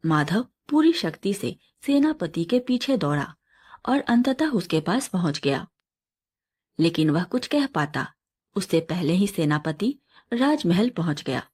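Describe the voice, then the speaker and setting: A woman reading a scripted moral folk tale as a storyteller, in a measured, expressive storybook delivery. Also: fairly low pitch, husky.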